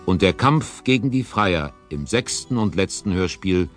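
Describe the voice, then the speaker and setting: A man narrating a scripted audio drama trailer in a measured storytelling tone. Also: low-pitched, expressive, faint music behind.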